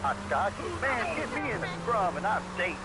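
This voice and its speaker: A man's voice: high-pitched, sing-song, moderate pace. An actor playing a belligerent, paranoid, dim American man calling a radio show, rambling indignantly in slang. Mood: belligerent, boastful. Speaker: radio phone-in caller. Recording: loud car noise over radio call.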